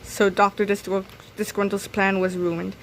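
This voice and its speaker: A woman reading a children's comic aloud in an animated, dramatic, expressive way, occasionally stumbling and re-reading. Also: medium pitch, measured pace, slight background noise, poor audio. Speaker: read-aloud narrator.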